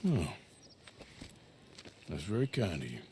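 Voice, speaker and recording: A menacing Old West outlaw with a low voice and a slow American Western drawl. He sounds weary and folksy yet intimidating, in cinematic game style, with his pitch moving widely. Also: big pitch swings, creaky, warm tone, a little hiss or hum, heavily acted. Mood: calm menace. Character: menacing, weary male outlaw gunslinger and debt collector. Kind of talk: cinematic video game acting.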